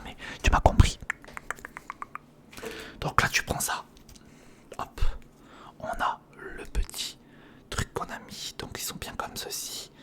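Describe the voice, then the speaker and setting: An ASMR creator whispering casual step-by-step commentary on a hands-on build, murmuring directly to the listener.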